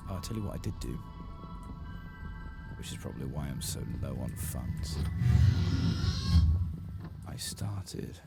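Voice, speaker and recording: A man speaking softly and often whispering as he murmurs short asides to himself during an ASMR game playthrough. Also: rumbling low, animated, unhurried, vocal fry, long pauses, over loud music. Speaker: game streamer, ASMR host.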